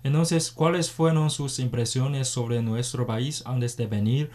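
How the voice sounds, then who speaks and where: Guy hosting a radio show, calm formal way of talking, warm mellow tone. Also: medium pitch. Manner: measured, formal phrasing. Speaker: radio host.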